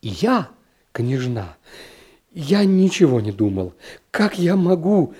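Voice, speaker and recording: A man's voice: fairly high pitch, very animated, takes it slowly, mellow, breathy edge, pitched above the performer's own voice, full character voice. A man playing a shy, flustered boy of sixteen in a story reading, hesitant and very polite. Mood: embarrassed. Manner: hesitant, deferential.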